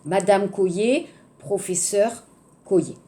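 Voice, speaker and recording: A French woman in her fifties with a French accent, teaching an introductory French class, speaking slowly and repeating each phrase and checking in with the class. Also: medium pitch, dark tone. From France. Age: 50s. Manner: slow, repeats each phrase across languages, checks in with "hein?". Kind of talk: introductory language lesson.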